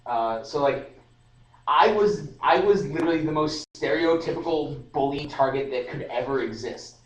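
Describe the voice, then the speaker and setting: A man's voice: on the high side, moderate pace, highs cut off, slight background noise. A young man in casual podcast discussion, rambling with restarts and fillers. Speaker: podcast host. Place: room at a student society meeting. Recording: roomy live stream audio, distant mic.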